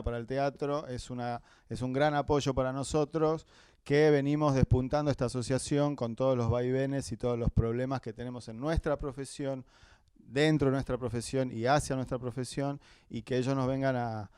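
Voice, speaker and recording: A man's voice: mid-range voice, darkish tone, clean tone, no room sound, clean studio sound. A man with an Argentine Spanish accent giving an informal welcome speech as association president, repeating words as he searches for phrasing. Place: live talk at an association venue.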